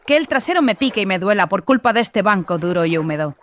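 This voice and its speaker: A woman playing a haughty, impatient young sorceress adept in an audiobook, speaking in a mocking, deliberate, falsely sweet way. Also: medium pitch, very animated, a bit bright. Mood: irritated, sarcastic. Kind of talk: acted audiobook dialogue.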